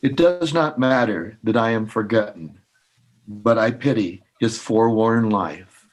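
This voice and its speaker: A man speaking and reading aloud at an informal poetry reading, halting and unhurried, with pauses. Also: on the low side.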